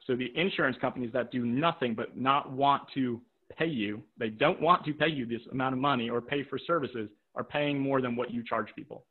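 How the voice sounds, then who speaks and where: A man leads a live video-call workshop, speaking at an ordinary pitch in a direct, practical way and circling back to repeat his key points. His voice sounds tired from a full day of talking. The audio is narrow and tinny, like a phone line. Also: a little fast, hardly any pauses. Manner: checks in with rhetorical "right?" and "okay?". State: voice tiring from talking all day. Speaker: private practice coach running a webinar. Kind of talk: live webinar teaching with audience questions. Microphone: video call microphone.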